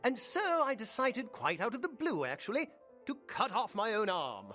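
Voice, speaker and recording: A fussy, unsettling little gentleman shopkeeper from a video game. His oily politeness swoops up and down in fluttery hesitations, with faint music underneath. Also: high voice, big pitch swings, normal speed, exaggerated voice. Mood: eager, creepy. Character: fussy, creepy male prosthetics shop owner, politely obsessive. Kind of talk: video game character acting.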